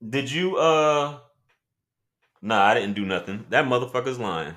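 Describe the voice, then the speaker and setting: A man with a deep voice hosting a casual livestream, reading chat comments and talking loosely with profanity and laughing asides. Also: animated, a little slow, a bit bright.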